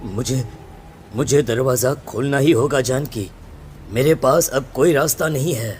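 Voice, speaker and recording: A man playing an anxious, stern village father in an animated story drama. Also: mid-range voice, measured pace, a little hiss or hum, lightly acted. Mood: fearful. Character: worried, stern village father of a grown son.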